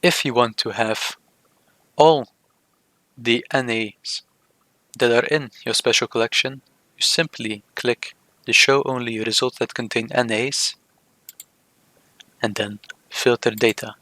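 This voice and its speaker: Man with a bright, dry-recorded voice. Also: mid-range voice, fairly even intonation, takes it slowly, pauses often, no echo. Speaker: software demonstrator. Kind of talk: scripted tutorial narration of a screen demo.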